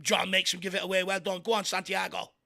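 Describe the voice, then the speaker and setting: An Irish man hosting a fan watchalong, giving excitable partisan live commentary on a football match with a croaky, worn voice. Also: high-pitched, quick pace. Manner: excitable partisan, shouts encouragement at players. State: voice going croaky from hours of talking.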